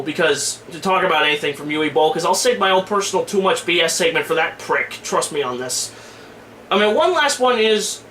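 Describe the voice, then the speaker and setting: A man's voice: fairly high pitch, a bit bright. A man giving a casual, blunt, slangy opinion rant as an online movie and game reviewer. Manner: informal, griping, blunt slangy delivery. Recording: echoey room with faint background noise.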